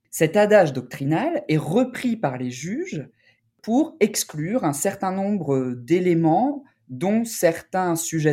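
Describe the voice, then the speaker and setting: Mellow-voiced male researcher explaining ideas. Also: a little high. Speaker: interviewed doctoral researcher. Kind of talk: academic interview, explanatory.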